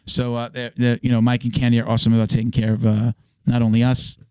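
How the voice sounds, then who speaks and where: A man co-hosting a podcast, his voice hoarse today. It sounds smooth and close, recorded dry in a studio. He talks casually and stumbles through sponsor reads. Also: mid-range voice, completely flat delivery, a little mellow, dry recording. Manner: reads ads in a quick, stumbling way. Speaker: podcast co-host.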